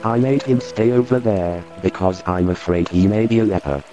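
A man's voice: fairly low pitch, normal speed, over music, lightly acted, poor audio. A man playing a cautious, plain-spoken householder. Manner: matter-of-fact, cautious. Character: male householder reporting visitors, cautious. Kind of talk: radio drama acting.

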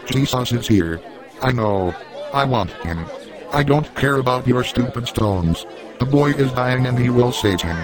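A man's voice: mid-range voice, expressive, a little slow, a crowd in the background, close to an everyday voice. A man playing a blunt, urgent Roman soldier in a dramatised religious audiobook. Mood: angry, defiant. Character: blunt Roman soldier, brash, urgent, desperate to save a boy. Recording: music bed behind the voice.

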